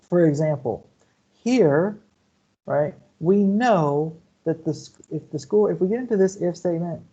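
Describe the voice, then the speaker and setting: A man's voice: a little high, warm tone. Man lecturing over a dull video call. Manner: explanatory, checks in with "okay?" and "right?". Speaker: university computer science lecturer. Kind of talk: online lecture over shared slides.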